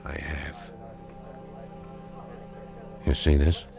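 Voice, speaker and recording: An actor playing a vengeful male war veteran in a 1970s radio drama, calmly and persuasively planning a murder. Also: extremely low pitch, drawn-out words, creaky, music in the background, heavily acted. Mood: scheming coldly. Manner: cold, persuasive, matter-of-fact about killing.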